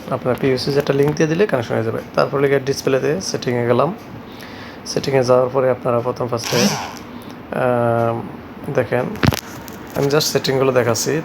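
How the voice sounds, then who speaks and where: A man presenting a hands-on tech tutorial in a halting, repetitive, step-by-step way. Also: mid-range voice, noisy background. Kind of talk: hands-on product tutorial narration. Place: shop, indoors.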